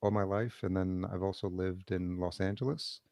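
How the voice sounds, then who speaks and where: A man, raised near New City and born in El Salvador, talking casually as a guest over a video call, measured and hesitant with many ums. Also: low-pitched, fairly even intonation, dark tone, no echo, clean studio sound. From El Salvador, raised in Yonkers, New York. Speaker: podcast producer, guest. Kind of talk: casual interview chat. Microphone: remote video call.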